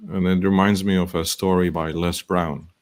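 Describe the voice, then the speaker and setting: A man hosting a motivational talk show, speaking earnestly and slowing down to stress quotations. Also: deep voice, level tone. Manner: earnest, quotes sayings, slow emphasis.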